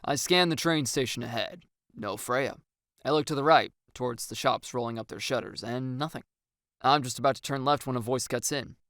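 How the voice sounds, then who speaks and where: A narrator reading a first-person story with acted dialogue, in an expressive and wry storytelling manner. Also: medium pitch, normal speed, dry recording, studio quality.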